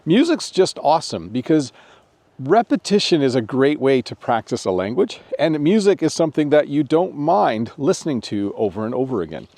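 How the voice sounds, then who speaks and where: A man's voice: mid-range voice, very animated, normal speed, mellow, no echo. A man who speaks English natively, explaining step by step in an instructional video as a teacher, summing up as he goes. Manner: clear step-by-step explaining with repeated summaries. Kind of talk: instructional talk to camera.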